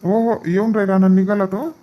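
A man's voice: sits high, little pitch movement, moderate pace, muffled recording, natural voice. A man voicing easygoing village farm men in a cartoon comedy.

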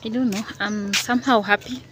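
A woman's voice: mid-range voice, steady tone, fairly quick. Young woman, Kenyan accent, earnest, repetitive, phone at arm's length, outdoors in rural greenery, noisy background. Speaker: vlogger. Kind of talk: selfie vlog to camera. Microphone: phone held at arm's length. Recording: outdoor phone recording with clear background noise.

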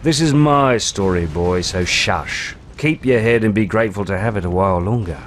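An actor voicing a cocky, sly pirate rogue in a video game, glib and drawling, with mock-grand storytelling. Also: male voice, on the low side, normal speed, a little hiss or hum, close to an everyday voice. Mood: cocky, teasing. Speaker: narrator and lead character. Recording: music under the voice.

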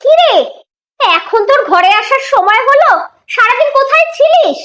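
A woman's voice: very high-pitched, lively intonation, moderate pace, fairly bright tone. A woman voicing an exasperated, sarcastic, scolding village mother in a children's cartoon.

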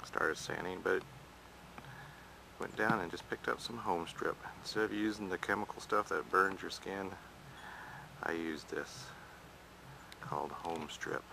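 A man's voice: low voice, a little slow, gravelly, pauses often, noisy background. A man restoring an old wooden boat in his backyard, narrating over his own camera footage in a plain-spoken, practical way. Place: outdoors in a backyard.

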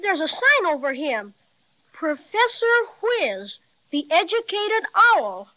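A woman's voice: very high voice, sing-song, a bit bright, exaggerated voice. Plucky young boy. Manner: brisk, plucky. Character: eager young boy, bold big brother. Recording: dull 1930s transcription.

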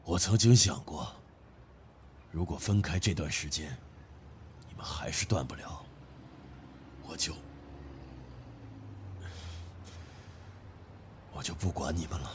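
An actor playing a stern older father in an audio drama, speaking in a measured, weighty way. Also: rumbling low, noisy background. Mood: regretful. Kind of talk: Chinese audio drama acting. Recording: background music under the dialogue.

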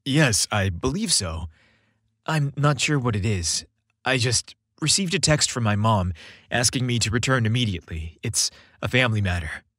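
Man playing a hesitant, husky young boyfriend. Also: mid-range voice, lively intonation, moderate pace, a bit bright, no echo, studio quality. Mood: hesitant, apologetic. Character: affectionate young man, evasive about his family.

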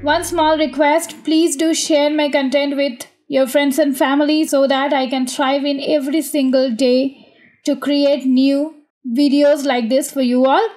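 A woman's voice: sits high, little pitch movement, a little slow, darkish tone, faint music behind. A woman presenting an online tutorial in a polite, earnest way. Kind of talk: tutorial narration and channel appeal.

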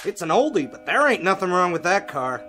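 High-voiced, blunt working guy. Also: big pitch swings, a little fast, bright, forceful tone, faint music behind, full character voice. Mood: plain, matter-of-fact. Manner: slangy, blunt, working-class talk. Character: blunt, slangy working-class regular guy. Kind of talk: radio drama acting.

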